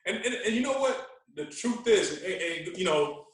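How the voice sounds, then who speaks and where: A man telling stories in an animated, casual way, using street slang and repeating himself for emphasis. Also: high voice, moderate pace. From Queens, New York. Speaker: podcast guest, radio personality. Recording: very echoey room, distant from mic.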